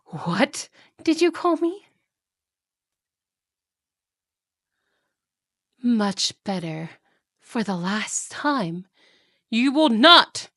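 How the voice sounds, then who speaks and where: A woman's voice: mid-range voice, fairly bright tone, no echo, studio quality, full character voice. A woman playing a haughty, cruel evil queen and wicked stepmother, imperious, scolding and condescending, in a fantasy audio roleplay. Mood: icy, indignant. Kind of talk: fantasy ASMR roleplay acting.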